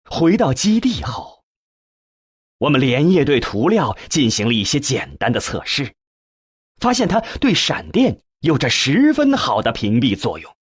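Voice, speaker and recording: A man reading a novel as a scripted narration, with measured, expressive storytelling and a husky voice. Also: medium pitch, no echo, clean studio sound. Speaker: audiobook narrator.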